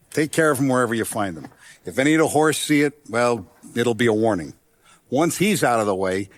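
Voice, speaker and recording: An actor playing an older Italian-American mafia boss in a video game, paternal, calculating and ruthless, with measured, streetwise authority. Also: male voice, mid-range voice, natural voice. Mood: commanding. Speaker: crime boss character. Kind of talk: cinematic video game voice acting.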